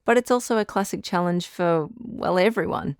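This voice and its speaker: Actress as a low-voiced, calm woman radio counsellor. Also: smooth, dry recording, studio quality, lightly acted. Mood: calm, tactful. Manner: measured, tactful, reassuring. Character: calm, patient woman relationship advice radio doctor. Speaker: advice show host. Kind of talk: audio drama phone-in advice.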